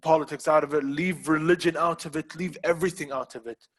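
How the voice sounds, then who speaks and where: A man's voice: fairly high pitch, steady tone, fast talker, a bit bright. A man hosting a podcast, speaking in an impassioned, emphatic way and repeating phrases for stress. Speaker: podcast host. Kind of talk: casual podcast talk.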